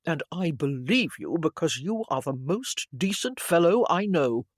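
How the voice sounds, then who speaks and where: A narrator takes on an earnest young gentleman from a period novel. He speaks formally and with emphasis, confessional and easily indignant. The pitch moves in a lively way, close and dry. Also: measured pace, no room sound. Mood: earnest, calmer.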